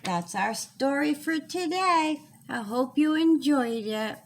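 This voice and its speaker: A woman reading her own rhyming children's story in a deliberate, singsong storytelling manner. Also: high voice, animated, vocal fry, a little hiss or hum.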